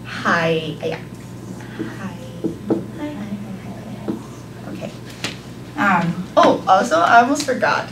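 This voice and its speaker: A young Cantonese speaker from leads a beginner class in English. She talks at a mid pitch, hesitating often with ums and soft laughs. The classroom is echoey and noisy, and she sounds well away from the mic. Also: big pitch swings, drawn-out words, pauses often. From Hong Kong. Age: young adult. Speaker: volunteer language teacher. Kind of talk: live classroom lesson. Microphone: far camera. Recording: echoey room from far off, noisy.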